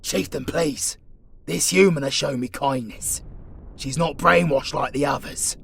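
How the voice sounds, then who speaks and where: A man with a British accent playing a gruff, loyal young demon warrior, wounded and protective, with rough colloquial speech, in a fantasy audio drama. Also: fairly high pitch, unhurried, a little hiss or hum. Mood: urgent, pleading. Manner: rough colloquial speech, calls listener dearie. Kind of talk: fantasy audio roleplay acting, intimate ASMR.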